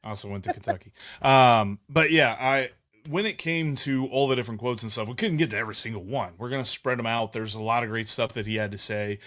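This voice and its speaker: A man's voice: mid-range voice, fairly quick, fairly bright tone. A man hosting a sports podcast, chatting casually and giving his opinions with frequent restarts. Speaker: podcast host.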